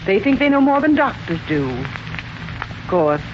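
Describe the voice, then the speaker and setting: A deferential housemaid who insinuates and quietly suspects, in 1940s radio mystery style. Her delivery is pointed beneath the politeness. The aged recording sounds muffled and plainly noisy. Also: mid-range voice, sing-song, measured pace. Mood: suspicious, insinuating.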